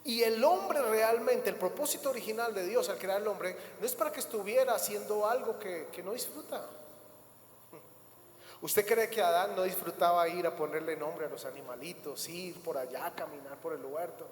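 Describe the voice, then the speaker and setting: Guy pastor preaching, kinda high voice, lots of repeating for punch, big echoey church sound. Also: normal speed, a little hiss or hum. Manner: emphatic preaching with repetitions and rising bursts. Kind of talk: sermon. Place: church hall. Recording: echoey church hall, faint ambience.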